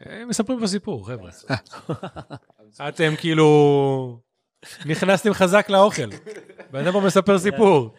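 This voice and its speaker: A man co-hosting a casual podcast chat, excitable and quick to swear. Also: sits high.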